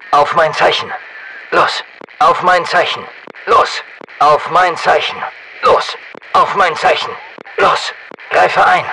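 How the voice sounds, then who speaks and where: Masked soldier, terse and commanding, gravelly, piercingly bright, game radio with faint noise. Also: male voice, very high voice, exaggerated voice, low-quality recording. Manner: clipped, commanding. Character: masked male special forces soldier, terse and battle-hardened. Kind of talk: military radio callouts in a shooter game dub.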